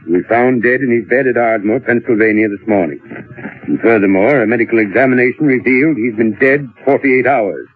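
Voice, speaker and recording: A man acting a dismissive general in vintage radio drama. His voice is husky and mid-pitched, formal and placating, on a muffled, narrow old recording. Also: brisk, no echo, natural voice. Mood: placating, skeptical. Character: skeptical, patronizing general, firm authority figure.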